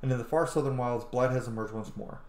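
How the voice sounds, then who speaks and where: A man in his thirties doing excitable, casual game commentary and reading game text aloud, swearing freely. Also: mid-range voice, quick pace. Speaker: streamer playing a video game. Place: small indoor room. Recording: roomy, echoey home recording.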